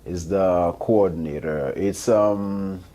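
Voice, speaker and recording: Very deep, slow Haitian man. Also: mellow. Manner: hesitant, many ums, halting. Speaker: physician guest. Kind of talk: hesitant interview answers.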